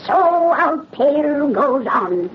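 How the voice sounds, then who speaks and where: A woman's voice: sits high, sing-song, takes it slowly, exaggerated voice. A woman voicing a cackling old witch who narrates horror tales in gloating, theatrical old-time radio style. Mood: gleefully sinister. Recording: muffled, noisy old radio recording.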